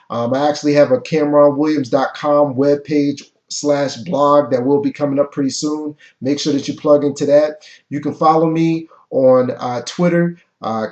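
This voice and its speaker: A man giving a motivational talk over a webcam, energetic and preachy, with an occasional stutter. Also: fairly high pitch. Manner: energetic, preachy, repeats key phrases. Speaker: motivational coach. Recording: echoey, dull webcam audio.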